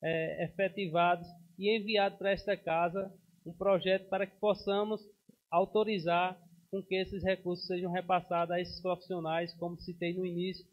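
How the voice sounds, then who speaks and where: A councilman in his thirties speaks formally from a podium microphone in a council chamber, pausing and restarting now and then. His voice sits high and stays flat in tone. The broadcast sound is dull and muffled. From Brazil. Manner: formal, steady, with frequent hesitations. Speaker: city councilman. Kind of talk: formal speech at a council session.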